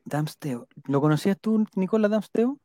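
A live stream host with a Chilean accent talks casually in a dry, close sound. The delivery is playful and rambling, with viewer comments read aloud and names repeated slowly back. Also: mid-range voice, lively intonation, dark tone, no echo. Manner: rambling, repeats names while jotting them down; playful, joking.

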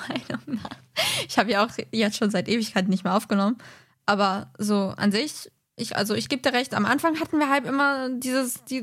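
A woman co-hosting a podcast in informal, offhand chat. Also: on the high side. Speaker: podcast co-host.

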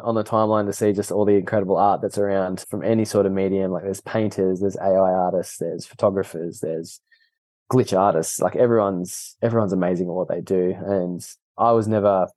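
Laid-back Australian man, dark voice. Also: deep voice, no echo. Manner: relaxed, laughs often, says mate. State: injured foot, on painkillers. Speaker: guest. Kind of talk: casual interview chat.

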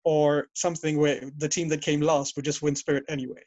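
A man's voice: a little high, little pitch movement, brisk, darkish tone, no room sound. A man being interviewed, telling long stories about the old days and often correcting himself mid-sentence. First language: English. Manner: rambling, self-correcting storyteller. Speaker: guest. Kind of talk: casual interview over a call.

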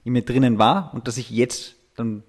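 Man in his 30s, interview guest, halting, filler-heavy, laughs easily. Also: medium pitch. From Austria. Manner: hesitant, many filler sounds, laughs easily. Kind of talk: casual interview talk.

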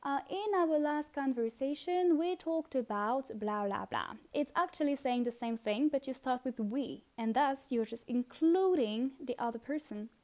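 A young woman with a French accent, talking through listening tips on her own podcast in a relaxed, chatty way with many fillers and repeated words. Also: high-pitched, unhurried, hardly any pauses. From France. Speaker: podcast host. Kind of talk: casual solo podcast advice.

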